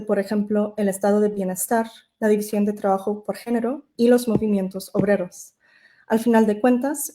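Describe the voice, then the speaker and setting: A woman who is not a native Spanish speaker, reading a prepared academic lecture as an invited speaker, measured and careful with occasional self-corrections. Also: mid-range voice, dark tone.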